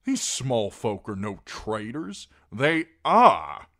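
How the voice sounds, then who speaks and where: An earnest, plain-spoken knight played by a male reader, blunt and insistent. His voice is raised in pitch and swings with feeling, dry and close. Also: big pitch swings, slow, no echo. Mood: impassioned, pleading. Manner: insistent, impassioned, rhetorical.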